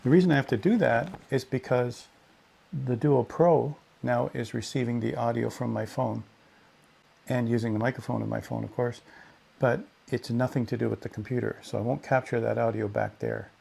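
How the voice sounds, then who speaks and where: A man's voice: mid-range voice, steady tone, moderate pace, vocal fry, a little mellow, slightly rough. A man giving a chatty, explanatory hands-on demonstration in a casual style, speaking into a headset or lapel mic. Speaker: product demonstrator, pilot. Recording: faint background noise, headset and lapel mics.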